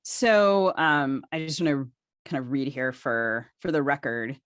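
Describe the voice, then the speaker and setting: A woman's voice: a bass voice, animated, unhurried, clear, resonant tone, dry recording. A woman co-hosting a podcast, reading out information in a conversational way. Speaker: podcast co-host.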